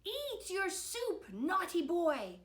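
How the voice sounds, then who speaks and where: A wicked fairy performed by a woman, bossy and gloating, scolding in a drawn-out storybook voice. The sound has a roomy echo. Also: very high voice, huge pitch swings, pitched above the performer's own voice, heavily acted. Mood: bossy, insistent. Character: wicked female fairy, gleefully bossy captor of children.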